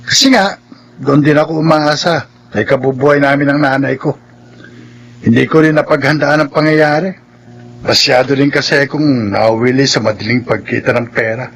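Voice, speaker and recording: A man's voice: mid-range voice, steady tone, moderate pace, a bit bright. An actor playing a regretful working man in a Filipino radio drama. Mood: rueful. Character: regretful working man who lives with his mother.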